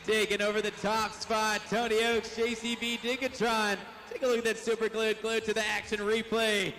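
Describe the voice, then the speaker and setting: A man's voice: high-pitched, completely flat delivery, moderate pace, noisy background. A male arena announcer losing his voice, calling a live monster truck event and pumping up the crowd. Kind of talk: live play-by-play commentary. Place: indoor arena.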